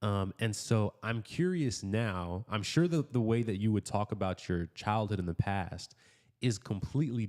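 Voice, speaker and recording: A man's voice: low voice, a little mellow. A man hosting a podcast, upbeat and given to repeating affirmations as he introduces and questions his guest. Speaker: podcast host. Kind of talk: podcast interview.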